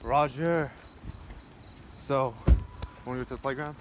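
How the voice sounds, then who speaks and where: A young man talking casually as a vlogger, making quick excited remarks while filming on a handheld phone outdoors. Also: medium pitch, very noisy. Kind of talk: casual vlog commentary. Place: outdoor parking lot car show. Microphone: handheld phone camera. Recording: loud music over phone audio.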